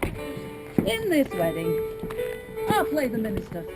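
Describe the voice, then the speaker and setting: A woman's voice: a little high, huge pitch swings, takes it slowly, heavily acted, rough recording. A woman playing a folksy stage manager who talks to the audience and stands in as a minister. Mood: wry. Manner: chatty, wry aside to audience. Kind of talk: stage play performance. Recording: loud music under voice.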